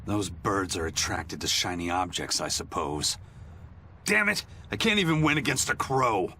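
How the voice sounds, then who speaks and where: A man voicing a stoic, determined, gruff character with a firm, matter-of-fact manner in a dubbed video game cutscene. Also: sits low, very animated, normal speed, sharp tone, a little hiss or hum, full character voice. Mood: resigned, then frustrated. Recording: faint music behind the voice.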